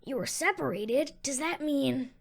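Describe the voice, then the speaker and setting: A woman's voice: high-pitched, lively intonation, measured pace, vocal fry, full character voice. A woman acting an earnest young boy fox sidekick in a fan audio drama. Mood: surprised, puzzled.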